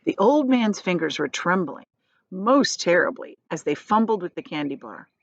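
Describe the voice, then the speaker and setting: A woman reading a children's novel aloud as a teacher would, in expressive storybook narration. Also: fairly low pitch, normal speed, creaky, no room sound, lo-fi sound.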